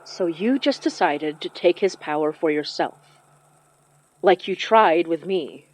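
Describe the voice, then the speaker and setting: An actress playing a stubborn, protective young magic practitioner in an audio drama, earnest and confrontational. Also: female voice, lowish voice, measured pace, a little mellow, poor audio. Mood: accusing.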